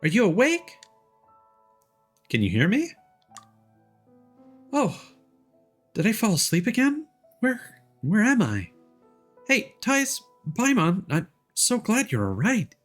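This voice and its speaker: A man voicing a gentle, dreamy young forest girl, reading game dialogue earnestly in character. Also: high-pitched, huge pitch swings, slow, long pauses, soft background music, pitched above the performer's own voice, exaggerated voice. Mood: waking, relieved.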